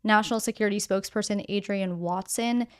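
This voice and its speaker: Female news podcast host, smooth and clean tone, medium pitch, measured scripted delivery with conversational asides. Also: fairly even intonation. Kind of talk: scripted news narration with casual explanatory asides.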